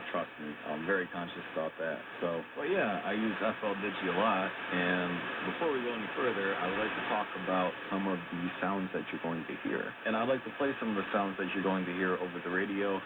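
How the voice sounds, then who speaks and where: A man's voice: low voice, a little fast, hardly any pauses. A man presenting a radio segment as a casual, conversational explainer, heard over shortwave radio. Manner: informal, conversational. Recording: noisy, dull shortwave radio reception.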